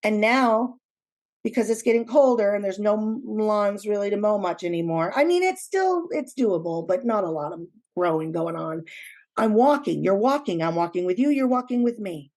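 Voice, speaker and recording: A woman's voice: medium pitch, expressive, moderate pace, a little mellow. A woman guest chatting casually with a playful, chatty rhythm. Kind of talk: casual chat.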